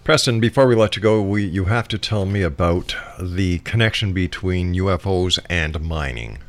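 Low-voiced radio host, a man. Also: normal speed. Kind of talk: radio interview hosting.

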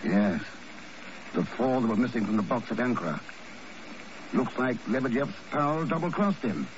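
Man acting as male secret agent, composed, clipped, authoritative, mid-pitched, noisy vintage radio recording. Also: expressive, moderate pace, natural voice. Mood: calm, wry. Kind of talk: 1950 radio drama acting. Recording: muffled, noisy old radio broadcast.

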